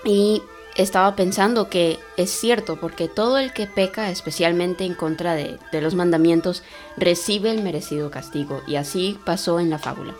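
A woman co-hosting, reflecting thoughtfully on a fable and halting at times. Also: on the low side, soft background music. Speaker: co-host. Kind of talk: moral reflection, introducing a segment.

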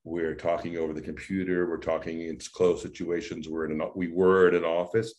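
Low-voiced animated man coaching. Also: a little fast, muffled recording. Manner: animated, demonstrative, varied emphasis. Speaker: voice and speech coach. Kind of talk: interview guest explaining.

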